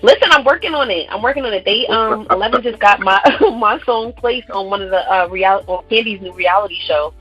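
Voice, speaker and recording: Bright, chatty young woman. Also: mid-range voice, brisk, few pauses, dull audio, faint music behind. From Brooklyn, New York. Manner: upbeat, chatty, with "um" and "like" fillers. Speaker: guest artist. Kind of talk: radio interview.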